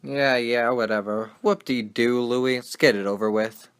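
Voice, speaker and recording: A skeptical, slangy sports host from a 1920s radio show, acted by a man. His voice sits at a medium pitch. He talks in a folksy, sardonic way, like a born wisecracker. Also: fairly even intonation, normal speed, a bit bright, clean tone, lightly acted. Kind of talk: 1920s radio broadcast acting with period slang.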